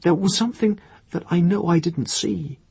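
Man voicing a hesitant, husky, higher-pitched young woman. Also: sing-song, a little mellow, no echo, exaggerated voice. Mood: hesitant, earnest. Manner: hesitant, halting. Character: shy, earnest young woman, awed and uneasy.

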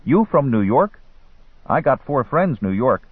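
A man's voice: mid-range voice, very animated, a little slow, breathy edge, no echo, phone-quality sound, pitched above the performer's own voice. A man voicing a persistent teenage boy hustler in a story, eager and wheedling in broken English. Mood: eager, probing.

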